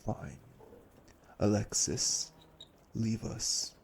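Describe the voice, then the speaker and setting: Man acting low, gravelly, commanding vampire elder. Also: animated, very slow, heavy background noise, full character voice. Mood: curt, dismissive. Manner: formal, stern, imperious. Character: cold, commanding elder male vampire brother, menacing. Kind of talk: audio roleplay drama acting.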